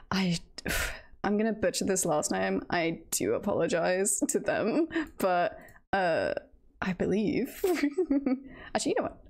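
A woman livestreamer chatting casually and telling stories in a giggly, self-deprecating, halting way. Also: mid-range voice. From United Kingdom. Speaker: livestreamer, VTuber host. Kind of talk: casual livestream chat, storytelling.

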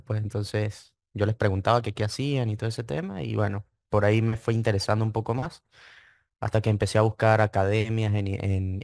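Young guy from the andes, kinda low voice, chatting casually, hesitant, super dry audio. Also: a little mellow, dry recording. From Venezuela (Mérida). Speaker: interview guest, trading student. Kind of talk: casual interview testimony.

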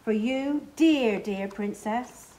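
Lady reading as an earnest fairytale mouse prince, highish voice. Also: slow, dark tone. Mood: tender. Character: earnest male mouse prince in a storybook romance.

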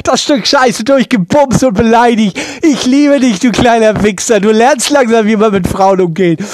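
A man's voice: extremely high pitch, moderate pace, darkish tone, no room sound. A man playing a brash, vulgar time-travelling bully in an improvised comedy audio play, taunting in slang mixed with English. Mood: gleeful. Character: brash, foul-mouthed, gleefully bullying male time traveller.

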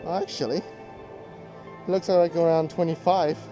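A man's voice: a little high, unhurried, soft background music. Chatty man fishing off a jetty. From New Zealand. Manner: chatty, excitable, thinks aloud. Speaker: fishing vlogger. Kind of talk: casual running commentary while fishing. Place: outdoors on a rocky jetty by the sea. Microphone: head-mounted action camera.

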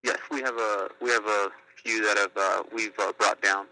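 A man's voice: fairly low pitch, fairly even intonation, moderate pace, pushed and bright, low-quality recording. A man working as a store clerk, politely and hesitantly helping a customer over the phone. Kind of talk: customer service phone call.

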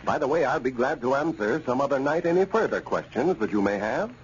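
A man announcing on an old radio show, giving a formal, persuasive sponsor message in measured phrases. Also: mid-range voice, expressive. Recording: muffled, noisy old radio recording.

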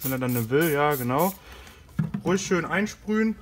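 Higher-voiced man in a noisy recording. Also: expressive, moderate pace. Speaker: car care video host. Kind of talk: casual product demonstration while working. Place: inside and beside a car in a garage.

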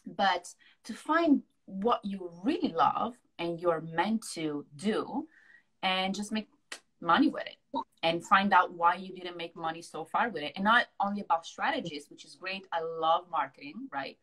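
A woman's voice: lowish voice, lively intonation, unhurried, a bit bright, poor audio. A woman in her thirties from Italy with an Italian accent, talking expressively as a guest on a video call interview, peppering her talk with "you know" and "right". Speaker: guest, hypnotherapist and success coach. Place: small room at home. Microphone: video call, laptop or webcam mic.

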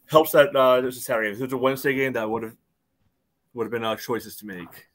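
Male podcast host, middle-aged, mid-pitched voice, loose rambling sports chat over a video call. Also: steady tone, a little fast. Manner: rambling, frequent "you know". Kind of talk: casual sports discussion. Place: indoor room at home.

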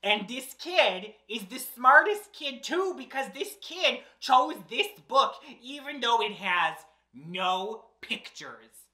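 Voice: medium pitch, very animated, takes it slowly, pushed and bright. A drag storyteller with a theatrical, exaggerated and playful delivery, reading aloud to children and chatting as host. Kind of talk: animated children's read-aloud and chatty presenting. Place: small indoor set, seated in an armchair. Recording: roomy indoor echo.

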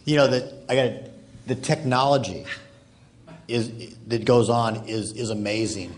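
A man's voice: mid-range voice, measured pace. A man casually moderating a live stage panel, often repeating and restarting his phrases. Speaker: panel moderator, high school teacher. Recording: live stage microphone with background noise.

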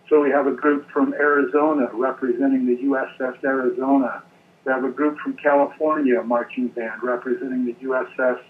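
An American man in his forties giving an earnest, measured interview over the telephone as an event organizer. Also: medium pitch. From United States. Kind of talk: phone interview. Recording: noisy, narrow phone line.

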